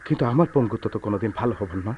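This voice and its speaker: Guy acting sad brooding lovelorn man in an old radio play, poetic, lowish voice, muffled tape. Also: lively intonation, racing, natural voice. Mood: yearning, probing. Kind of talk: Bengali radio drama acting. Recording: dull lo-fi radio recording with noise.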